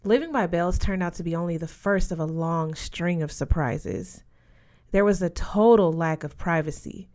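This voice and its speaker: A woman reading a young adult novel aloud as scripted narration, with expressive delivery. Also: on the low side, moderate pace. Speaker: audiobook reader.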